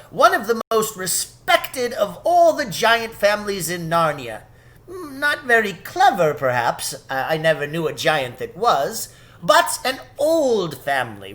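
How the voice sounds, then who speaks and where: A little storybook faun, put on by a man, earnest and genteel. He chats thoughtfully in a raised pitch with lively rises and falls. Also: full character voice. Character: small chatty male faun, earnest and approving.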